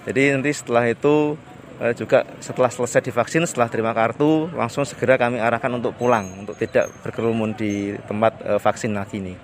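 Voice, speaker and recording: A male village official answering a reporter in a formal, halting way with many fillers. His voice sits at a medium pitch. Faint street noise runs behind him outdoors. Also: steady tone. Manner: formal, frequent hesitation fillers. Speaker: village head. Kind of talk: interview answers.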